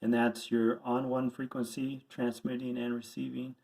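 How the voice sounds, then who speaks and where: A man speaks as an instructor in an informal, practical tutorial. His delivery is plain and instructive, taking the listener through each step in order. His voice sits at an average male pitch. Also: flat delivery, moderate pace, gravelly, darkish tone, rough recording. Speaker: radio instructor.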